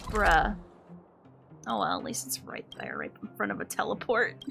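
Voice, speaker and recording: A woman's voice: medium pitch, huge pitch swings, moderate pace, creaky, pushed and bright, faint music behind. A woman doing casual, playful live game commentary as a streamer, given to exclaimed reactions.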